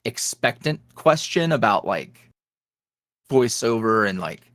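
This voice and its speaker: A man's voice: mid-range voice, very animated, slow pace, fairly bright tone, dry recording, clean studio sound. A man being interviewed as a guest, chatting casually and telling rambling stories with frequent laughs. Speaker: ASMR roleplay creator, podcast guest.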